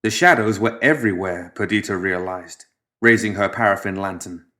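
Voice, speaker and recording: A man with a somewhat low voice narrates a piece of fiction. His delivery is scripted and measured, with a sense of drama. He lets some phrases fall away into a quieter, hushed close, as an audiobook storyteller does.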